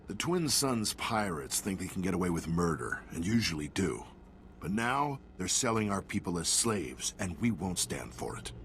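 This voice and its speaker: An actor playing an earnest, plainspoken frontier militia leader in a video game. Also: male voice, sits low, sing-song, moderate pace, fairly bright tone, a little hiss or hum, heavily acted.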